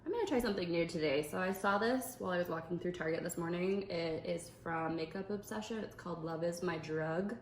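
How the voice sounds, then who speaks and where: A woman's voice: fairly low pitch, fairly quick, hardly any pauses. A young adult woman chatting in an upbeat way as she explains her makeup steps. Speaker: makeup vlogger. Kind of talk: casual makeup tutorial chat. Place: indoor room at a makeup table. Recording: echoey room with faint noise.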